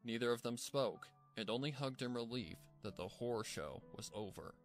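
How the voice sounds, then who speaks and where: A man narrating a dramatic scripted fan fiction story in a measured, theatrical way. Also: medium pitch. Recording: music bed behind the voice. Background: background music.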